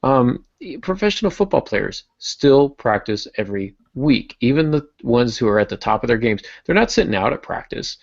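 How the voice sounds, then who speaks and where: A man hosting a podcast in casual discussion, thinking aloud in a halting way. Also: medium pitch, very animated, creaky, dry recording, lo-fi sound. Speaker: podcast host.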